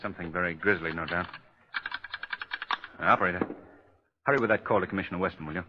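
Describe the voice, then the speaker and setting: An unflappable gentleman sleuth acted by a man from an old radio mystery. He speaks low, with courteous, dryly understated delivery, through dulled 1940s broadcast sound. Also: fast talker, background noise, close to an everyday voice. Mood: dry, wary. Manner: polite, hesitant, dryly understated. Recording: muffled vintage 1940s radio recording.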